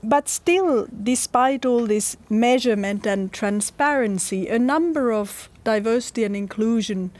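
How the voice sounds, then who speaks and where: A middle-aged woman moderating a panel discussion in a measured way, reading out audience questions. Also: on the high side, a little mellow.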